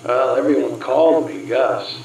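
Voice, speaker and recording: A man's voice: mid-range voice, moderate pace, darkish tone, close to an everyday voice. A man playing a cocky, flirtatious American spy ghost in a radio play, with an American accent and a teasing drawl. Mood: hesitant. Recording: echoey, distant, slightly noisy recording.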